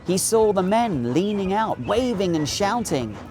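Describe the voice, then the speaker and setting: Very animated British male storyteller. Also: high voice, unhurried, music in the background. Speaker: storybook narrator. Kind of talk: animated children's story reading. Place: small indoor recording studio.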